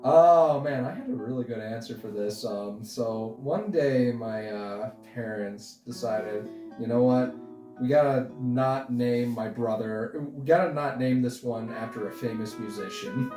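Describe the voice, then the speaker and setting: A man in his twenties chatting casually as an interview guest, giving halting, rambling answers. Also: medium pitch, dark tone, distant mic. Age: 20s. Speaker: interview guest, stop-motion creator. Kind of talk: casual chat. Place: indoor room, sharing the frame with host. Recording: roomy, off-mic, music underneath. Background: guitar music.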